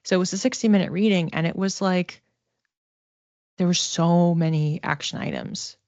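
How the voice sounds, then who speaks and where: A dry, slightly dull lo-fi recording of a woman with a lowish voice. She speaks casually as a psychic reader, improvising and thinking aloud, often pausing and hedging as she goes. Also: gravelly, darkish tone, no room sound. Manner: tentative, conversational, thinking aloud with pauses. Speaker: psychic reader giving live online readings. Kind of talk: casual live reading, improvised explanation.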